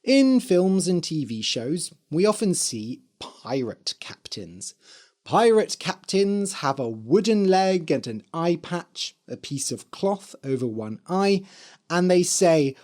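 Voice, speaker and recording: A man hosting an English-learning podcast, speaking in a hoarse, slightly dry voice and explaining words clearly for learners. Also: fairly high pitch, sing-song, takes it slowly, darkish tone. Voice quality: spells words out letter by letter. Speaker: podcast host and English teacher. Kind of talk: slow, clear teaching talk for learners.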